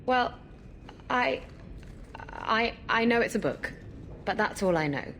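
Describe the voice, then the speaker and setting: A woman's voice: on the high side, lively intonation, slow, gravelly, fairly bright tone, slight background noise, exaggerated voice. A woman playing a brash, evasive female pirate rogue in a video game, with a glib, wheedling, flippant manner.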